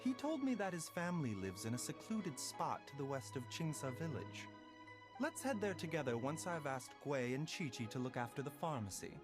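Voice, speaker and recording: Gentle, expressive young doctor. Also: male voice, a little high. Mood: calm, thoughtful. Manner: polite, measured, formal phrasing. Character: gentle, composed young male doctor and herbalist. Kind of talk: video game voice acting. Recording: game music behind voice.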